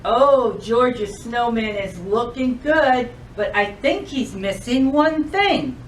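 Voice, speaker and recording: A woman's voice: on the high side, animated, takes it slowly, distant mic, a little hiss or hum, low-quality recording. A woman reading a children's storybook aloud as a storytime reader, expressively and addressing the young listeners directly.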